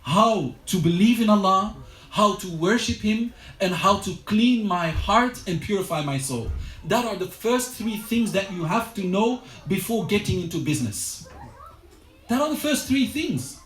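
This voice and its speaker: A man's voice: sits high, expressive, a little slow, a bit bright. A man giving a religious lecture to a study circle, earnest and exhortative, often restarting his sentences. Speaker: religious teacher. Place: lecture room. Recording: roomy echo.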